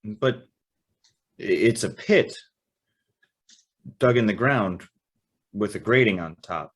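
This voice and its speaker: An actor playing a polite, curious young house guest in a radio drama, speaking in a mild, tentative way. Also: male voice, lowish voice, expressive, slow, breathy edge, heavily acted. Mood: puzzled, uneasy. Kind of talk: old-time radio drama acting with narration.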